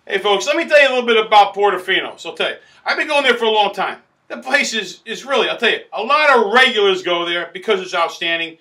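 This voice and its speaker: A middle-aged man, hosting a restaurant review to camera in a loud, boisterous, salesman-like way that keeps repeating itself. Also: high-pitched, lively intonation, fast, hardly any pauses. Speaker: food critic host. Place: small indoor studio in front of a banner backdrop.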